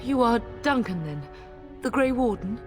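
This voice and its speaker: A woman's voice: a little high, animated, normal speed, over music, natural voice. An actress playing a middle-aged noble mother in a video game, fierce, devoted and urgent, with emotional delivery. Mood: anxious. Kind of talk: dramatic video game acting.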